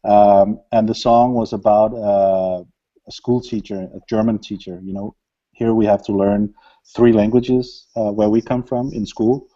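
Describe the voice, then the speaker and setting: A man's voice: on the low side, measured pace, lo-fi sound. A man, a music producer, chatting casually in an interview and rambling with lots of fillers. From Denmark. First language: Danish. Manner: relaxed, rambling, many fillers and laughs. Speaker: electronic music producer, guest.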